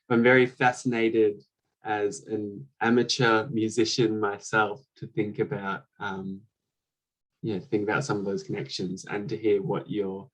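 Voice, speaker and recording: A man's voice: fairly low pitch, poor audio. A man chatting on a video call, enthusiastic and a bit rambling. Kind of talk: casual video-call chat.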